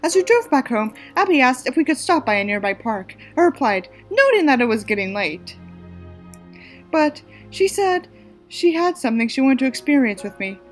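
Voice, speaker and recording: Animated, sarcastic woman reading a story. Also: sits high, normal speed, faint music behind. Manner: sarcastic, theatrical. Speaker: reader and commentator. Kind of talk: mocking dramatic reading with sarcastic asides.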